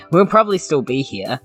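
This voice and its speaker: A woman chatting casually as a player in a tabletop game. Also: rumbling low. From New Zealand. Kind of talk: casual in-character game chat.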